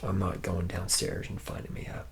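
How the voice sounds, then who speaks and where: A man's voice: low voice, completely flat delivery, moderate pace, slight background noise. A man playing a laid-back, drunken womanizer who works at a robot factory, rambling and boasting in slangy, repetitive talk for an ASMR roleplay. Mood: lazy, relaxed. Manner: slack, rambling, boastful, slangy, repeating himself. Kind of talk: ASMR roleplay, soft improvised-sounding monologue.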